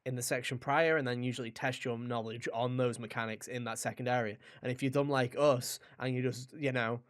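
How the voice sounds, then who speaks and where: A man's voice: medium pitch, steady tone, fairly quick. A man co-hosting a gaming podcast, chatting casually and enthusiastically in a joking, sweary way. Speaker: podcast host and game reviewer.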